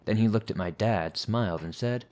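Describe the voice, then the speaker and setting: A man hosting a horror podcast, reading listener stories aloud in a steady, measured way. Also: fairly low pitch. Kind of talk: scripted horror story narration.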